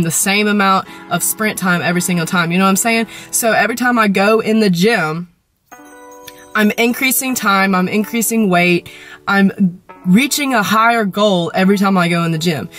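A woman in her twenties with an American accent, chatting informally and using slang as she vlogs about workouts. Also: mid-range voice. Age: 20s. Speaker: fitness vlogger. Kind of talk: casual vlog chat explaining workouts. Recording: loud music behind the voice. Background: music.

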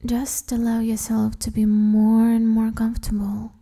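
A woman guiding a meditation in a soft, breathy half-whisper, soothing and gently instructing the listener. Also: a little high, monotone, unhurried. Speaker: meditation guide, host. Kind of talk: guided meditation, hypnosis-like.

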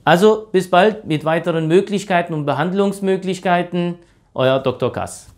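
A man's voice: sits high, normal speed. A man around forty, a dentist explaining treatment in an informal, reassuring way. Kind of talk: informal explanation and patient consultation. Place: small dental clinic room. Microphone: lapel mic.

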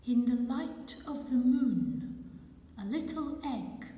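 A woman reads a children's picture book aloud at an extremely slow, deliberate pace. Her fairly high voice swings expressively up and down as she addresses young listeners. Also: animated, warm tone. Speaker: children's storyteller. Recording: echoey, reverberant room.

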